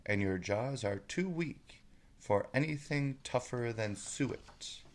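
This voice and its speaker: Man reciting as cheeky youth, slow verse. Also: medium pitch, lively intonation, slight background noise, voice pushed up. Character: inquisitive, cheeky young man questioning his old father. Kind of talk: storybook poem reading.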